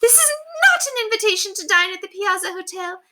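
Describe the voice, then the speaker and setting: Shrill, melodramatic snobbish lady. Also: lively intonation, fairly quick, sharp tone, exaggerated voice. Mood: outraged. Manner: theatrical, gushing, indignant exclamations. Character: haughty, melodramatic, snobbish upper-class lady. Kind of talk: storybook character voice read aloud.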